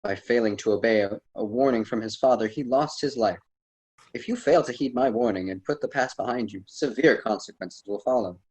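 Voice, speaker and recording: A man playing a wise, admonishing storyteller mentor in an amateur radio drama, formal and slightly husky. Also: medium pitch, fairly quick, a little mellow, natural voice, poor audio. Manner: formal, solemn, didactic.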